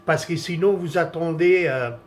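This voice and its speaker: A man's voice: on the high side, normal speed. A man whose first language is Italian, talking through a camera monitor setup in a casual, hesitant way with many fillers and restarts. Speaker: vlogger reviewing camera gear. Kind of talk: casual product tutorial.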